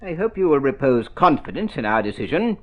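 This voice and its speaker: An actor playing a fussy, formal lawyer in an old radio drama, speaking precisely with proper, hesitant phrasing. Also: male voice, medium pitch, very animated, fairly quick, close to an everyday voice. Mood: formal, measured. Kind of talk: golden-age radio drama acting. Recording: muffled vintage radio recording.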